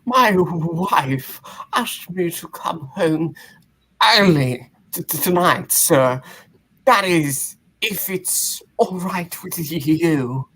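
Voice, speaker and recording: A woman puts on a timid, henpecked comic-book henchman. The voice is bright and husky, stammering and whimpering deferentially, with big swings in pitch. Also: expressive, extremely slow, heavily acted. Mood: nervous, deferential. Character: meek, flustered, pleading henchman, a henpecked husband. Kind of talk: comic-book character read aloud.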